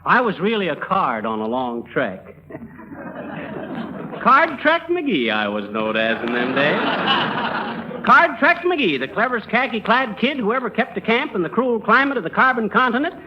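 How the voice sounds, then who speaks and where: An actor playing a boastful, folksy American teller of tall tales in a 1930s radio comedy, full of breezy patter. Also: male voice, high-pitched, wildly swooping pitch, moderate pace, a crowd in the background. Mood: gleefully bragging. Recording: dull 1930s radio recording.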